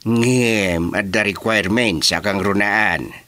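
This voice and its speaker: A male actor with an Ilocano accent playing an excitable, joking village senior in a rural comedy radio drama. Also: on the low side, slow. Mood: busy, matter-of-fact. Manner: teasing, animated, colloquial.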